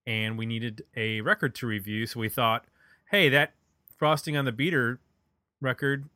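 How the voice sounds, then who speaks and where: A man's voice: medium pitch, fairly even intonation, normal speed, pushed and bright, dry recording, clean studio sound. A middle-aged man chatting casually as the host of a music podcast.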